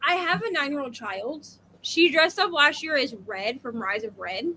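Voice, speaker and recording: A woman co-hosts a relaxed book chat, telling things conversationally with plenty of asides. Her voice is high and bright, and there is slight noise behind it. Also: moderate pace. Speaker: book discussion co-host. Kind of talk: casual chat, personal anecdotes.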